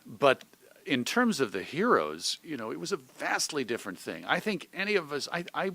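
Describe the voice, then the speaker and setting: Wry middle-aged man, bright voice. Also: fairly high pitch, wildly swooping pitch, normal speed, creaky, no room sound. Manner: wry, self-deprecating humor. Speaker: radio show host being interviewed. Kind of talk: conversational interview, reflective.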